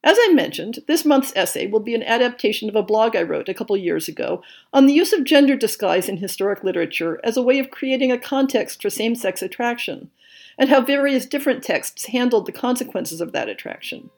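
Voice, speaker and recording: A woman's voice: on the high side, lively intonation, darkish tone. Woman hosting a book podcast. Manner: measured, reads lists of titles and blurbs. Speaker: podcast host. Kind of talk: scripted monthly update podcast.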